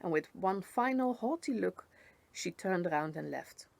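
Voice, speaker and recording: A woman narrating a first-person storybook tale in an expressive read-aloud manner. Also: fairly low pitch, unhurried, vocal fry, dry recording. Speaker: story reader.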